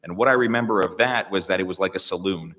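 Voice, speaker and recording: Deep-voiced american guy thinking out loud, lots of ums, echoey hall. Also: fast, slight background noise. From United States. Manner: thoughtful, hesitant, many fillers and restarts. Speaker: guest speaker. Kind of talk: reflective conversational interview. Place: public hall. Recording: long reverb of a large room.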